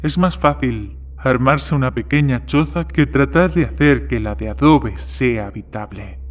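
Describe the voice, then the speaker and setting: A man's voice: medium pitch, unhurried, natural voice. A man playing a curious, skeptical newcomer in a horror story, with a casual, matter-of-fact way of talking.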